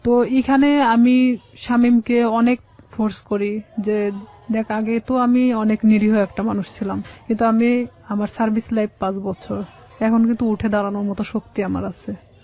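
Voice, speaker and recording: A woman's voice: fairly high pitch, steady tone, normal speed. Female interview guest, Bangladeshi accent, personal roundabout storytelling, dull lo-fi sound. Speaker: guest telling her life story.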